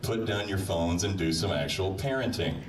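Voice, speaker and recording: A man's voice: low-pitched, lively intonation, moderate pace. A man delivering a humorous, admonishing monologue with mock-stern manner, addressing the listener directly. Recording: roomy, distant playback with crowd noise.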